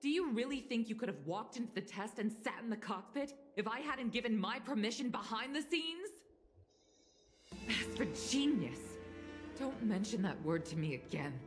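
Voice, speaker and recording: Woman acting stern mother, very expressive delivery. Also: a little high, moderate pace, close to an everyday voice. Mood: angry, reproachful. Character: stern, protective mother and high-ranking commission official. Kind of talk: dramatic video game voice acting. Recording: game audio with music behind. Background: music.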